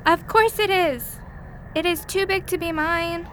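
Very high-voiced little alien girl acted by a woman. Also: measured pace. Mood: stubborn, insistent. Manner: formal diction with few contractions. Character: lost alien little girl, desperate, earnest, headstrong. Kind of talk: radio play acting. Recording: loud car and outdoor noise behind.

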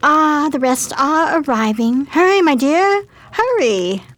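An actress playing a chic, scheming 1920s gambling-parlor hostess, coy and full of endearments, in a radio crime drama. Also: female voice, high voice, animated, takes it slowly, a bit bright, dry recording, heavily acted.

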